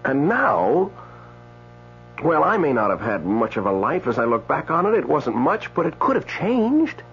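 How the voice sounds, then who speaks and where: An indignant man stranded after death, in an old radio play. His voice is on the high side and swings dramatically, with halting, pleading pauses. The sound is dull, with a faint hum. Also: sing-song. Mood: aggrieved. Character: indignant, newly dead man stranded in limbo. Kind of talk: radio drama acting with first-person narration.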